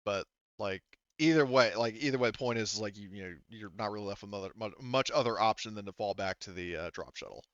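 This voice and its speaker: Rambling man with a low, dull-sounding mic. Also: fast, hardly any pauses, a bit bright, dry recording. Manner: rambling, repeats "like" and "you know". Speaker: game master. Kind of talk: casual improvised tabletop game narration.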